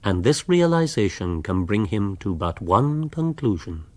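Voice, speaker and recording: A man's voice: on the low side, very animated, unhurried. A man with an English accent reading scripted, wry narration for a radio drama.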